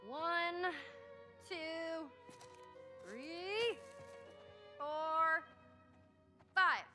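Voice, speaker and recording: A woman's voice: extremely high pitch, drawn-out words, music in the background, heavily acted. A woman playing a feisty, competitive teenage girl survivor in a video game, acted naturalistically with a teasing, brash, sweary manner. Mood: playful, taunting.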